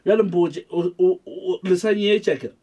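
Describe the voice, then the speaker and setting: Fast-talking South African man with a cold. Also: high voice, mellow. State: has a cold, sniffly. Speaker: radio show host. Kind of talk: radio call-in show, code-switching.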